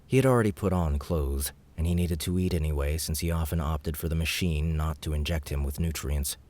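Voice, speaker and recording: Very deep-voiced male audiobook narrator. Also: a little fast, darkish tone.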